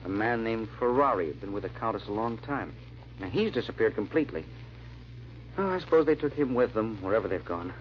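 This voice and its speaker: An actor playing a haunted, earnest gentleman sleuth, with dramatic, hesitant delivery in old-time radio drama style. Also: fairly low pitch, animated, brisk, natural voice. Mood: earnest, wry. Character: haunted, earnest gentleman amateur sleuth narrating his search. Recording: muffled old radio recording with hiss.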